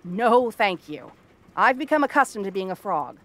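A woman performs a cursed princess living as a frog girl, in an ASMR fantasy drama. She is cranky and bratty, with a theatrical, haughty delivery. Her voice sits at a medium pitch and leans on sarcasm. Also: very animated, normal speed, fairly bright tone, slight background noise. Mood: irritated, dismissive. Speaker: voice actress. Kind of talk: ASMR fantasy roleplay monologue.

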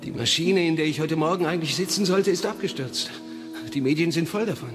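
Casting: male actor as uneasy, suspicious everyman, fairly high voice, urgent, thriller audio drama with music. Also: fairly quick, lightly acted. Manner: urgent, questioning. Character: anxious, suspicious husband in danger. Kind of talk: German audio drama acting.

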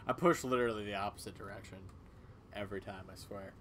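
A man's voice: fairly low pitch, steady tone, fast talker, fairly bright tone. Noisy recording of a casual male gamer. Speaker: gaming channel player voicing characters. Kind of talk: game playthrough with read-aloud dialogue. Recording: clearly audible background noise.

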